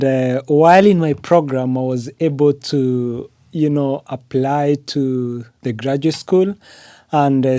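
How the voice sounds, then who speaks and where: A man's voice: mid-range voice, mellow, dry recording. Man, Kenyan accent, Swahili first language, earnest interview guest, dry close sound. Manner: earnest, many "uh" and "you know" fillers. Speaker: podcast guest, graduate student. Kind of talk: interview conversation.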